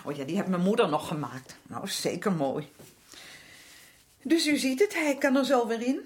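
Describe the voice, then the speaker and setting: Fussy, animated chatty housewife. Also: female voice, mid-range voice, moderate pace, slightly rough. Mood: flustered, eager. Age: middle-aged. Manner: rambling, hesitant, colloquial, tag questions. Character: chatty working-class housewife and protective mother, fussy and flustered. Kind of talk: naturalistic radio drama acting. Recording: faint noise and clicks, old radio drama.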